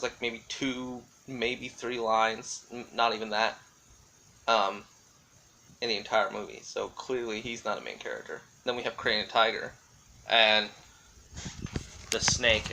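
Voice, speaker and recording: A man's voice: fairly low pitch, measured pace, long pauses, pushed and bright, poor audio. A man giving casual, rambling solo commentary as a vlogger, thinking aloud and often qualifying what he says in a tentative way. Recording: clearly audible background noise.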